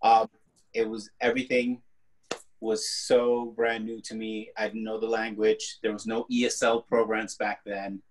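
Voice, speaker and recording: A man in his forties, a native Spanish speaker, talks in a low-ish voice and takes his time, drawing out each story. He is an artist speaking over a laptop webcam from a room at home, easygoing and quick to laugh. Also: rough recording. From born in the US, raised partly in Guatemala. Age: 40s. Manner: unhurried, laughs easily, expressive. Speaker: artist guest. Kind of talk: relaxed conversational storytelling. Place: home room with colorful paintings on the wall. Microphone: laptop webcam video call.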